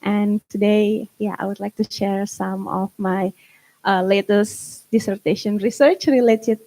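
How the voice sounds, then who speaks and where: A woman's voice: mid-range voice, fairly even intonation, mellow, rough recording. A young woman from Indonesia with an Indonesian accent, giving an informal slide presentation and often correcting herself. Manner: halting, frequent self-corrections. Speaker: guest speaker. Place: live summit event.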